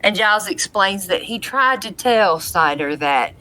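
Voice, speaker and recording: A woman's voice: medium pitch, expressive, measured pace, pushed and bright, slight background noise. A woman co-hosting a podcast, giving a casual episode recap in a conversational way. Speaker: podcast co-host.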